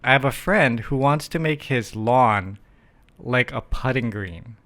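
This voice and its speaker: A man's voice: mid-range voice, unhurried, fairly bright tone. Easygoing male host, casual talk, loose rambling delivery. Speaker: podcast host. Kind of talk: casual chat.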